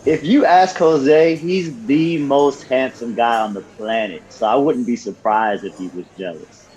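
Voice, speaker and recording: A man's voice: medium pitch, a little slow, a little mellow, highs cut off, natural voice. A man acting in an audio drama as a friendly paralegal on a coffee date, easygoing and conversational. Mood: friendly, interested.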